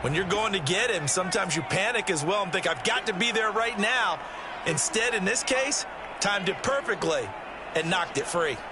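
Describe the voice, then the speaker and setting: A man's voice: high-pitched, expressive, moderate pace, crowd noise, background noise. A male color commentator explaining American football plays in a conversational, analytical way.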